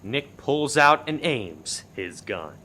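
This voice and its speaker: A man playing the narrator of a farcical radio play, reading scripted stage action in a deadpan way. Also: medium pitch, takes it slowly, a little hiss or hum, heavily acted. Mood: deadpan narrating.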